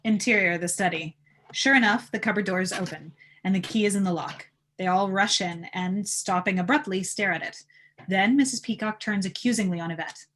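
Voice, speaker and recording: A woman narrates a screenplay at an informal table read. Her voice sits at a medium pitch as she reads out scene headings and action. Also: fairly quick, fairly bright tone. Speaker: narrator reading stage directions.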